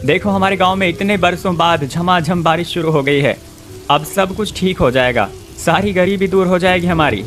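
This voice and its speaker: A man voicing an excited, devout village husband in a cartoon, exclamatory and hopeful. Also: high voice, normal speed, faint music behind. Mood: excited, joyful.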